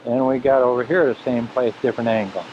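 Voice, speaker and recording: A man's voice: medium pitch, fairly even intonation, fast, a little hiss or hum. A man giving casual, chatty running commentary while filming a home video outdoors on a foggy rocky coast, repeating himself with dry humor. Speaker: home video camera operator. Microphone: built-in camcorder mic. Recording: muffled camcorder audio with loud surf. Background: surf and waves.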